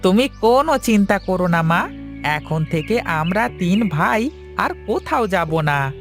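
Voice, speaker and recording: A woman's voice: fairly low pitch, expressive, unhurried, over music. A woman voicing a humble, devoted young village son in a fairy-tale cartoon, earnest and respectful. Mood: reassuring.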